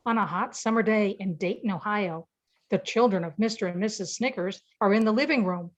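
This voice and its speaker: A woman's voice: medium pitch, a little fast, over music. A woman reading scripted narration for an amateur radio play.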